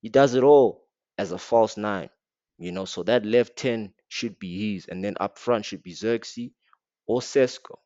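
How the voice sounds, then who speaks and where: A man's voice: on the low side, measured pace, warm tone, no room sound, highs cut off. A man with an African accent giving a blunt, slangy, mocking rant as a football fan reviewing a match. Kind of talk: casual rant to camera.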